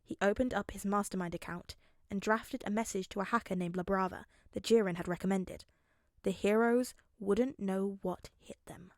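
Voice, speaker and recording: Woman reading a fanfic audiobook, mid pitched voice, dry close sound. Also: dry recording. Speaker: fan fiction narrator. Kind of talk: scripted audiobook narration.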